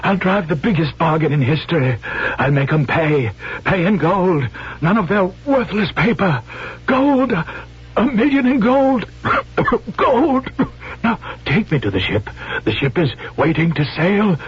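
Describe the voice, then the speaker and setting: A man's voice: high voice, big pitch swings, a little slow, slightly rough, full character voice. An actor in a radio drama playing a delirious dying man, gasping and groaning as he insists in strained, repetitive bursts. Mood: feverish, insistent. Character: delirious dying man, obsessed with gold and his ship. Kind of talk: 1970s radio drama acting. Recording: dull vintage radio recording with hum.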